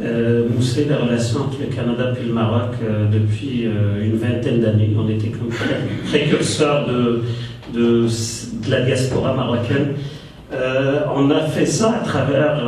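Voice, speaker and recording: A Moroccan-born man speaks at a conference, pausing often on fillers and restarting his phrases. His voice carries through a big echoey hall from some distance off the mic, with a faint hiss underneath. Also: mid-range voice, a little mellow. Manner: hesitant, many fillers and restarts. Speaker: panel speaker. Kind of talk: conference talk.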